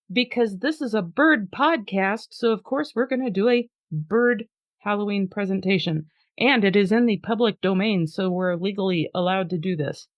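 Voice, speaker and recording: A woman's voice: medium pitch, dry recording, highs cut off. A woman hosting a podcast in an upbeat, chatty way, laughing easily and also reading a poem aloud with drama. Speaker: podcast host.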